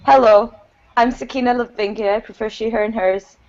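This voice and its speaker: A woman's voice: on the high side, steady tone, moderate pace, darkish tone, lo-fi sound. A young woman from Pakistan, a student, introducing herself cheerfully on a video call. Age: young adult. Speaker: student panelist. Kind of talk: casual self-introduction.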